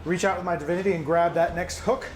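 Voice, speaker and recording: A man's voice: sits high, fairly even intonation, fast. A man playing a tabletop game and calling out turns in short, casual announcements. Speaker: player tracking turn order. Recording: roomy echo, faint noise.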